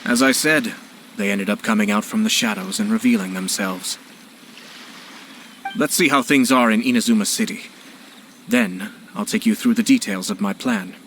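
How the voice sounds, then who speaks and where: A man playing a composed, cunning young nobleman in a video game, measured, courteous and cutting. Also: fairly low pitch, fairly bright tone, slight background noise. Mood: calm explaining.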